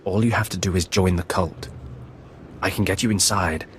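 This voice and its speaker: Game villain's apprentice, male actor, very low, formal and persuasive, serving a shadowy master, faint noise behind. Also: steady tone, moderate pace, natural voice. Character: scheming Sith apprentice, deferential servant of shadowy master. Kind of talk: video game cutscene acting.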